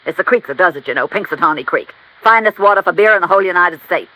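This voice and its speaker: An actress playing a blunt, folksy brewery owner of about fifty, fiercely proud, in an old American radio drama. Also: female voice, fairly low pitch, animated, fast, pushed and bright. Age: 50s. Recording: dull, lo-fi vintage radio recording.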